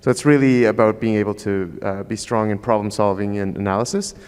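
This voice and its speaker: A man from Ecuador speaks on a conference panel in a slightly low, very clear voice. He is thoughtful and measured, with frequent ums. A long hall reverb follows his words. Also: fairly even intonation, darkish tone. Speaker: panelist, researcher.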